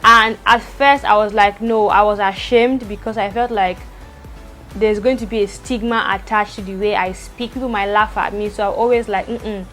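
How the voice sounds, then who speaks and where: A young woman vlogging from home, talking very fast with a stutter and repeating words. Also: mid-range voice, fairly even intonation, a bit bright, faint music behind. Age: young adult. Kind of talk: personal vlog talk to camera. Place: indoors at home, rain outside.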